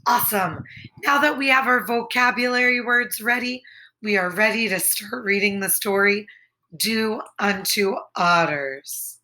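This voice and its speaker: A woman's voice: mid-range voice, a little slow, sharp tone, rough recording. A woman in the role of children's teacher, explaining and reading aloud in simple, carefully enunciated phrases. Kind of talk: lesson and story reading for children.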